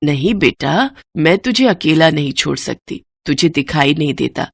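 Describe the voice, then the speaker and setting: Casting: woman voicing a devout working mother of sixty, gentle, deferential, slightly low and dry. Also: expressive, moderate pace, creaky, breathy edge, no room sound, full character voice. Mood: weary, caring. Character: humble, devout, hardworking sixty-year-old mother and labourer.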